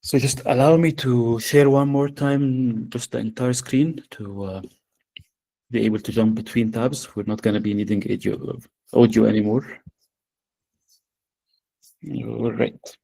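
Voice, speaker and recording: A man's voice: mid-range voice, fairly even intonation, a little slow, gravelly, long pauses, mellow, poor audio. Hesitant Arabic-accented man presenting. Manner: hesitant, many fillers. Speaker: workshop presenter. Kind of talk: live software demo.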